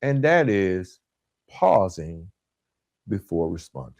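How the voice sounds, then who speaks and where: A man delivering a motivational self-help podcast talk as a coach, with emphatic, deliberate phrasing and frequent check-in tag questions. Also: low-pitched, sing-song, vocal fry, darkish tone, no echo, highs cut off. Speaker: podcast host and relationship coach.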